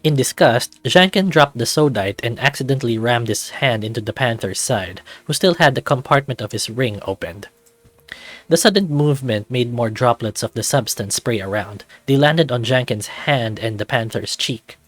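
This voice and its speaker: A man's voice: medium pitch, expressive, moderate pace, no echo. A man reading scripted story narration for a fantasy visual novel. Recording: background music under the voice.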